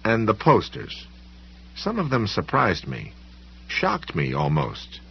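A man reading the part of a stubborn, uneasy ordinary man in an audiobook story, speaking slowly, earnestly and insistently. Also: on the low side, huge pitch swings, creaky, slightly rough, dull audio, heavily acted. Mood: hesitant.

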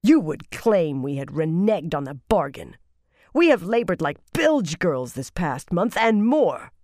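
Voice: mid-range voice, sing-song, fairly quick, no echo, clean studio sound, pitched above the performer's own voice, close to an everyday voice. A narrator voicing a proud, imperious seafaring woman with a haughty, snapping manner in an audiobook. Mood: indignant, commanding.

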